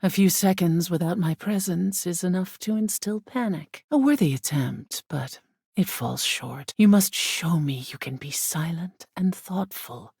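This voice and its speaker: A woman voicing an ancient, aloof female spirit of air in a video game, with a husky, breathy voice that often sinks to a whisper and a solemn, formal delivery. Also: on the low side, measured pace, a little mellow, no echo, studio quality, full character voice. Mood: disdainful, aloof. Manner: languid, imperious, solemn, formal diction. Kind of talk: video game character acting.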